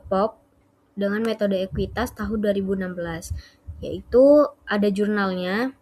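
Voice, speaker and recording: A young adult woman gives an explanatory class presentation as a student, halting and correcting herself as she goes. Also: mid-range voice. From Indonesia.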